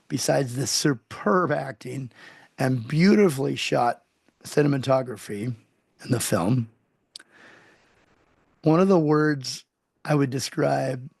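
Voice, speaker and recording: A male interview host with a gravelly, creaky voice talks with effusive, gushing enthusiasm, often restarting his phrases. He is recorded dry and close, with no room around him. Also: medium pitch, a little mellow, no room sound. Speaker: show host.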